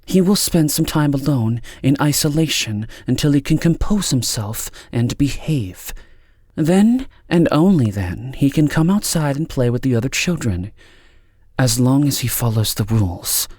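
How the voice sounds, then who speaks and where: A man's voice: fairly high pitch, expressive, normal speed, darkish tone, no echo, studio quality, voice pushed up. A man voicing a stern, disdainful woman in authority for an audiobook, speaking in a clipped, impatient and condescending way. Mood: cool, strict.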